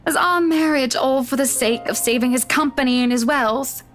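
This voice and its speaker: A woman voicing a haughty, bitter, wealthy married lady in a visual novel, sharp and theatrical, with condescending endearments. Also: high voice, moderate pace, voice pushed up. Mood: wounded. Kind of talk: visual novel character dialogue.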